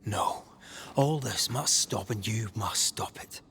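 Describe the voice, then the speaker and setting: An actor playing a stern, plain-spoken banisher of ghosts in a video game, blunt and commanding in manner. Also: male voice, lowish voice, slow, creaky, fairly bright tone, slight background noise, full character voice. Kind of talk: dramatic video game acting.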